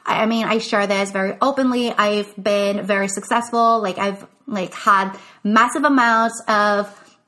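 A young woman from Quebec talks through her podcast in a loose, chatty way, full of "like" fillers and restarts. Her voice is bright and sits at a middle pitch for a woman. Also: fairly even intonation, a little slow. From Quebec, Canada. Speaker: business coach and podcast host. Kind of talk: casual solo podcast talk.

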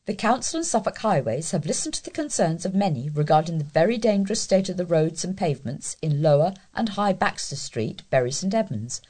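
A woman reading local news and letters aloud as a volunteer, in a deliberate, scripted delivery. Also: lowish voice, dark tone, husky. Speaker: volunteer newspaper reader.

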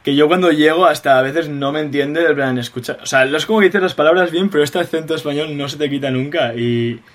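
A man's voice: on the high side, quick pace, few pauses. Chatty young Spanish man hosting. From Spain. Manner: chatty, asks long rambling questions. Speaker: podcast host and interviewer. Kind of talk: casual conversational interview.

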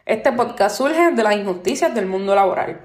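A woman's voice: medium pitch, lively intonation, normal speed. A Puerto Rican woman in her twenties hosting her own podcast, talking earnestly with many fillers like "este" and "pues". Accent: Puerto Rican Spanish. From Puerto Rico. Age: 20s. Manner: opinionated, earnest complaints about workplaces. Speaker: podcast host. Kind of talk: solo podcast monologue. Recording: roomy echo.

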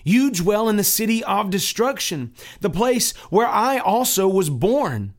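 A man playing a fervent, high-pitched pilgrim. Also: sing-song, measured pace, full character voice. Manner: fervent, preaching. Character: earnest devout male pilgrim, pleading.

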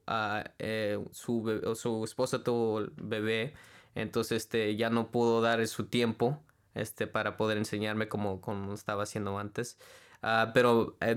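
Young man, 20s, from California, smooth voice, hesitant guest. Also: medium pitch. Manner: halting, searching for words with many fillers. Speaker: interview guest, student and church worship leader. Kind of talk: casual interview conversation.

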